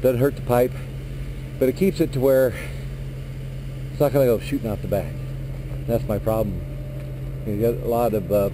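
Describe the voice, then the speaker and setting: A middle-aged man, a truck driver, walking around his trailer outdoors in a yard and explaining his work in a relaxed, plain-spoken way into a handheld action camera. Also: on the low side. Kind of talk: casual vlog walkthrough. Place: outdoor industrial yard beside a flatbed trailer. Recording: noisy outdoor camera audio.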